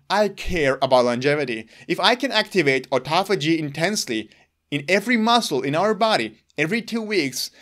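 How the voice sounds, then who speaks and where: A man in his thirties with a slightly higher-than-average voice, speaking English as a second language after growing up with Hebrew. He talks earnestly to the camera about his personal routine, gesturing with his hands, from a room indoors. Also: a bit bright. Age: 30s. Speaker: wellness channel host. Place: indoor room in front of gray curtains.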